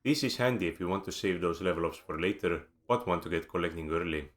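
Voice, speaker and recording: Low-voiced man with an Estonian accent. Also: quick pace. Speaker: video game guide narrator. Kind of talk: scripted voice-over narration.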